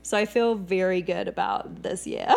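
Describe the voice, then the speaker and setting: A woman co-hosting a podcast, chatting in a relaxed, rambling way while she is still recovering from a cold. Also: mid-range voice, creaky, husky. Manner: relaxed, rambling, swears casually. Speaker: podcast co-host. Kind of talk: casual chat.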